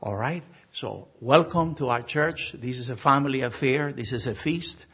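Halting husky pastor from Argentina. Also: male voice, mid-range voice, a bit bright, highs cut off. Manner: halting, with frequent uh fillers. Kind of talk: memorial service address.

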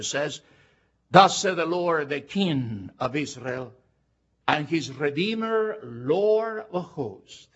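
An older man from Argentina with an Argentine Spanish accent, preaching a sermon with emphatic, drawn-out words. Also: fairly high pitch, husky. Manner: animated and emphatic, drawing out key words. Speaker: pastor. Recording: dull lo-fi recording.

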